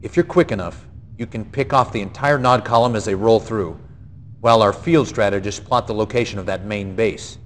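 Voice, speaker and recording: An actor playing a stern, earnest military general, giving a grave, emphatic mission briefing in a video game. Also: male voice, fairly low pitch, moderate pace, a little hiss or hum, close to an everyday voice. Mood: brisk, confident. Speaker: military commanding officer.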